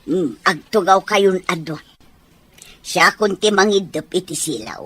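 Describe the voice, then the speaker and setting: Husky, slow-talking Ilocano mother. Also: lowish voice, animated, a bit bright, heavily acted. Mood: fond, bantering. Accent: Filipino, Ilocano. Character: emotional, fond mother of a grown daughter or son. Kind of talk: radio drama acting.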